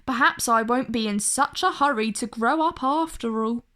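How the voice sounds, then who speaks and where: A woman's voice: fairly high pitch, moderate pace, fairly bright tone, close to an everyday voice. A woman playing a small young male monkey in a children's storybook, eager, stubborn and impatient. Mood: eager, happy. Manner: stubborn, pleading.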